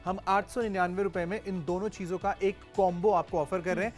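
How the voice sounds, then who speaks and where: A man's voice: sits high, steady tone, fairly quick, faint music behind. Man demonstrating a gadget on shopping TV. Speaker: TV shopping presenter. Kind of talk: product demonstration sales talk.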